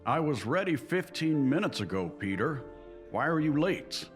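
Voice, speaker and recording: An actor playing a stern older uncle and newspaperman with a deadpan, deliberate delivery in a comic dub. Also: fairly high pitch. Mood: dry, testy. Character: stern, dry-witted older uncle, plain-spoken newspaperman. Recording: music behind the voice.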